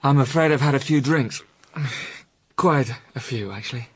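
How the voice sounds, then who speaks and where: An actor playing a tipsy, anxious young Oxford student with an upper-class English accent, hesitant and apologetic, in a radio drama. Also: male voice, mid-range voice, moderate pace, slightly rough, dry recording, natural voice. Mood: sheepish, hazy. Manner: hesitant, apologetic, plummy politeness.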